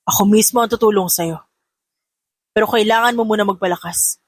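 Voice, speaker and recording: Female narrator as caring male doctor: lowered, measured, gently firm, reassuring, close dry sound. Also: no echo, lightly acted.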